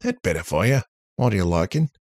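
Guy doing a cocky robot for an audiobook, super teasing, swoopy and raspy, hams it up. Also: deep voice, very animated, fast, darkish tone, no room sound, clean studio sound, voice pushed up, exaggerated voice. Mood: playful swagger. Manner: theatrical, teasing, switches between put-on accents. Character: cheeky, shape-shifting, needling male robot with swaggering temper.

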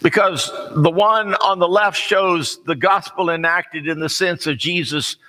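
An American man preaching a sermon in a folksy, conversational storytelling way, plain-spoken and pausing deliberately. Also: high voice, pushed and bright. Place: large reverberant room, preaching to a congregation. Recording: long hall reverb.

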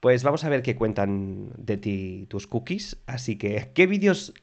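Lively teasing male interviewer. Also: lowish voice, unhurried, vocal fry. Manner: teasing, laughs often, lively intonation. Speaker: host interviewing guests. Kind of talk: playful casual interview.

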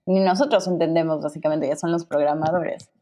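A young Mexican woman talks casually in a lowish, mellow voice. She rambles, circling back with fillers and restarts and laughing easily. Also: steady tone, a little fast. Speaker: guest. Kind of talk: casual conversation.

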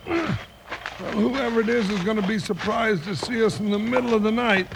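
A man's voice: sits high, moderate pace, vocal fry. A man playing a grumbling, skittish cowboy sidekick in an old radio western, folksy and exclamatory. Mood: exasperated. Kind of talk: 1950s radio western acting. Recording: noisy old-time radio recording.

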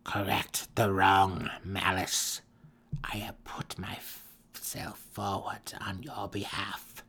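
A man voicing a withered, commanding old dark elf matriarch in a fantasy audiobook, raspy and gravelly, with a slow, imperious, cold and wrathful delivery. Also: sits low, little pitch movement, in a whisper, full character voice. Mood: stern, menacing.

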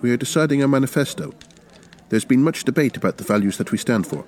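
An earnest, principled revolutionary, acted by a man reading aloud argues doctrine. His pitch lifts and moves about a lot from his usual register as he makes his case with composure. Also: lively intonation, fast, darkish tone, natural voice. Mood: wary. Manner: earnest, argumentative. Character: calm, principled male revolutionary debating doctrine. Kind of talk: dramatic short story reading.

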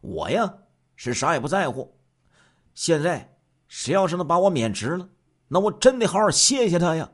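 A man's voice: a little high, big pitch swings, moderate pace, pitched above the performer's own voice. A man voicing a grumbling police station chief in a story, blunt and sardonic. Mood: sardonic, defiant.

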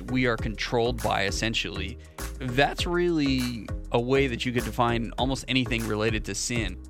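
A man, a pastor, hosting a casual faith discussion podcast in an upbeat, chatty way, laughing easily as he talks. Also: mid-range voice.

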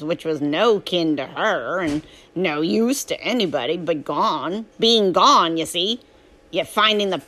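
A woman plays a restless, plainspoken country boy telling his own story from a storybook. The accent is Southern US, folksy and drawling, and the pitch swings freely from phrase to phrase. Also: fairly bright tone. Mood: grumbling, wry. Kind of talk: first-person storybook narration.

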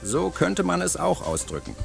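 A man playing a pompous, over-analytical village philosopher with a gravelly creak, lecturing in a comic audio drama. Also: fairly high pitch, huge pitch swings, moderate pace, faint music behind, heavily acted.

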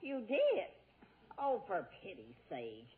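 A woman's voice: medium pitch, huge pitch swings, takes it slowly. An actress playing an anxious middle-aged housewife and mother in 1940s radio comedy, fussing and placating. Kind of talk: 1940s radio sitcom acting. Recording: tinny narrowband 1940s radio broadcast.

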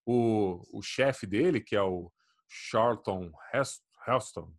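A man, chatting casually as a podcast co-host, animated and swearing freely. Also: lowish voice, takes it slowly. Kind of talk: casual chat.

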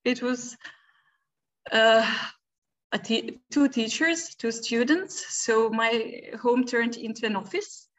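Woman, middle-aged, native Turkish speaker, somewhat high voice, teacher being interviewed, warm, laughs a lot, halting speech with fillers. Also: low-quality recording. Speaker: teacher and podcast guest. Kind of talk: conversational interview answers.

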